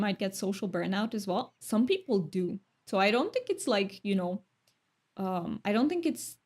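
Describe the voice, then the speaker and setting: A young woman from Romania talks casually with her stream chat. Her speech is thoughtful and chatty, broken up by light laughs. Her voice sits at a middle pitch for a woman. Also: a little mellow.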